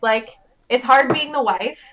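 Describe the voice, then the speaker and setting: A young adult woman, a guest in casual chat, talking in an expressive, self-mocking way. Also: high-pitched, measured pace, slight background noise. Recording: dull lo-fi mic.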